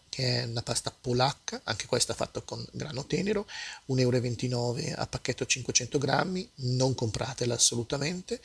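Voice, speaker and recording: A man from Italy strolls the supermarket aisles with his phone, naming products and prices. His voice is rough with fry and very breathy, and his pitch sits in an average range. He talks in a loose, unhurried way, full of fillers and small hesitations. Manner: relaxed, hesitant with frequent fillers. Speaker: food vlogger. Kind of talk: casual walkthrough narration.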